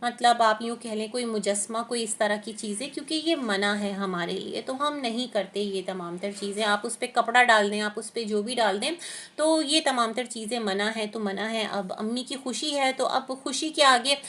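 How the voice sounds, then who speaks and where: A woman reaction vlogger with a sore throat, giving opinionated, explanatory commentary with frequent hesitant pauses. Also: a little high, fairly bright tone.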